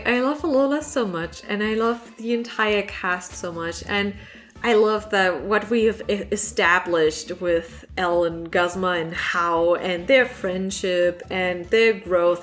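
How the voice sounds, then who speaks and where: Animated woman chatting over music. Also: mid-range voice. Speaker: webcomic artist answering viewer questions. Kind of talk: casual Q&A vlog chat.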